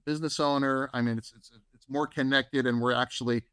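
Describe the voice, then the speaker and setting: A man's voice: medium pitch, steady tone, a little fast, fairly bright tone, clear, resonant tone, no room sound, studio quality. A man co-hosting a podcast, giving advice in a conversational way that leans on "right?" and "you know". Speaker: podcast co-host. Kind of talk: casual advice talk.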